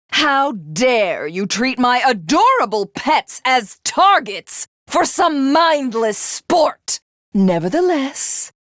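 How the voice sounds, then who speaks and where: An actress playing a haughty, vengeful alien warlord in a video game, speaking in formal, mocking, theatrical lines. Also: female voice, on the high side, no echo, studio quality. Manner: imperious, mocking, formal archaic diction. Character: haughty, vengeful alien warlord, a self-styled living god. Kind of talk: theatrical game villain monologue.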